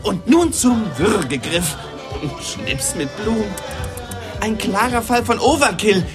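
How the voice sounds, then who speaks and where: A man's voice: high-pitched, expressive, slow pace, music in the background. Flamboyant, swooping cheeky salesman. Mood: playful, smug. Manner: theatrical sales patter peppered with English phrases. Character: cheeky, flamboyant male shop salesman, joker. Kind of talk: German radio documentary drama acting.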